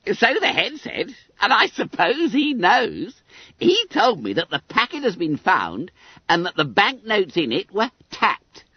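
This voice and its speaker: A storybook reader voicing a boastful, fat schoolboy, pompous and gleeful, with a squeaky, trilling voice. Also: wildly swooping pitch, a little slow, slightly rough, no echo, muffled recording, heavily acted. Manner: pompous, gleeful, muddles long words. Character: boastful, fat, bespectacled schoolboy, smug and indignant.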